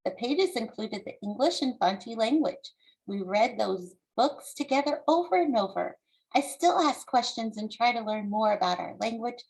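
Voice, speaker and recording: A woman with a fairly high voice presents as a children's author. She moves between reading aloud and explaining, speaking deliberately and with an encouraging, teacherly manner to a young audience. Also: creaky, poor audio. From United States.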